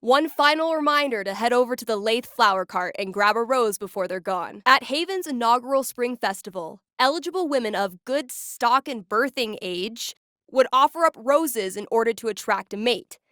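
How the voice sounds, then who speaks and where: A woman's voice: fairly high pitch, animated, moderate pace, a bit bright, dry recording, clean studio sound. A woman voicing a wry, geeky young woman in a video game, with a casual, sardonic and playful manner. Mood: upbeat announcing. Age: young adult. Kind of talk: naturalistic game voice acting.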